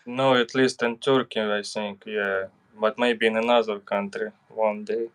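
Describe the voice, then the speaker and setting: A man's voice: fairly low pitch, level tone, clean tone. A man from Russia with a Russian accent, hosting a casual chat in English and often agreeing along. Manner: slow, chatty, agreeing often. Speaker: host.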